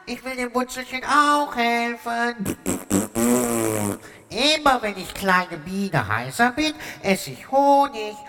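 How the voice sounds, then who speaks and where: A woman's voice: fairly high pitch, animated, slow, bright, forceful tone, in a large hall, slight background noise, exaggerated voice. A woman voicing a small busy bee who is eager to help.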